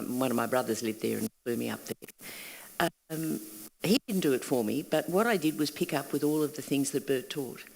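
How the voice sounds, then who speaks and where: Older Australian woman, earnest and hushed. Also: low voice, vocal fry, big-room sound, rough recording. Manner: earnest, reflective, at times hushed. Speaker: panel guest, workshop leader. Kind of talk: candid panel talk. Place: event hall.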